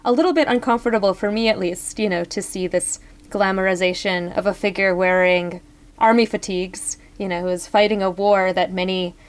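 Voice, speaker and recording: A woman's voice: medium pitch, steady tone, a little fast. A woman from Ukraine talks as a guest on a podcast panel with a mid-range voice. Her speech is chatty and loose, full of fillers. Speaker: professor, panelist. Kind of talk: casual panel discussion.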